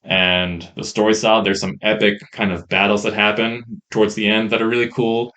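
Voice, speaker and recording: A man co-hosting a podcast, reviewing games in a casual, enthusiastic ramble on a rough-sounding mic. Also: on the low side, little pitch movement, brisk, bright, forceful tone. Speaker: podcast co-host. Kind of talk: casual chat, game review.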